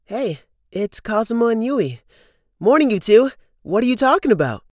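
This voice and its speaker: A woman voices an excitable schoolboy in a manga dub. The voice is high and swoops around in a dry recording. The character is gossipy and easily astonished. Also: moderate pace, no room sound. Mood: teasing, curious.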